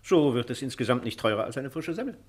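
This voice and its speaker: An actor playing an old justice minister at a royal court in a radio drama, formal, stiff and theatrical. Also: male voice, medium pitch, animated, fast talker, breathy edge. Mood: scheming, officious. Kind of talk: German radio play acting.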